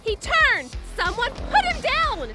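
A woman voicing a cocky, teasing, self-assured young woman in an animated webcomic dub. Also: very high voice, takes it slowly, over loud music, full character voice. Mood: panicked, urgent. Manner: playful, smug, sing-song teasing.

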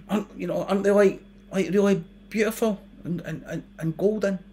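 A man's voice: sits high, steady tone, normal speed, dark tone, a little hiss or hum, voice pushed up, lightly acted. A Scottish man voicing a dreamy, simple-minded teenage boy who rambles and gets easily distracted. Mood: awestruck. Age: young adult.

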